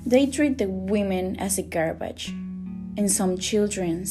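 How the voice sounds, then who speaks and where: A woman's voice: mid-range voice, measured pace, warm tone. A woman from Rica, earnestly reading a scripted spoken piece. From Costa Rica. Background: guitar music.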